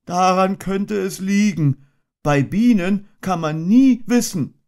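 A man putting on a high voice for a slow, earnest, muddled little teddy bear in a storybook reading. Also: darkish tone. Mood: conspiratorial. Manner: slow, earnest, ponderous, sing-song.

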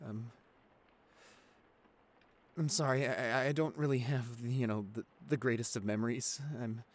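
A man's voice: medium pitch, steady tone, normal speed, lightly acted. A man playing a stammering, frightened young boyfriend. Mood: sheepish. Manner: stammering, hesitant, pleading. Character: shy nineteen-year-old boyfriend, anxious, apologetic, clingy. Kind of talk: ASMR roleplay acting.

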